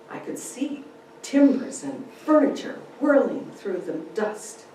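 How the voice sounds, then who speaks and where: A woman reads a story theatrically, taking her time and swinging her pitch expressively. She is heard from a distance in a strongly reverberant room. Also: mellow. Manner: theatrical, deliberate. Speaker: speaker giving a dramatic reading. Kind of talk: dramatic first-person story narration. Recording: echoey room, distant mic, faint noise.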